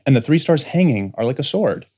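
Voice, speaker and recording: A man's voice: mid-range voice, big pitch swings, brisk, mellow. A man playing an earnest, restless, rebellious grown son who speaks insistently in a full-cast science fiction audiobook. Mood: wistful. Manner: insistent, intense, pleading.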